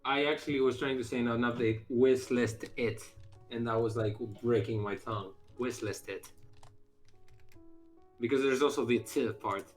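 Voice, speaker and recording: A Greek-accented man chats loosely on a game dev stream, tripping over restarts and fillers as he talks. His pitch sits mid-range for a man, and a little music plays softly behind him. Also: fairly even intonation, normal speed. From Greece. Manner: chatty, many fillers and restarts. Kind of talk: casual live-stream chat.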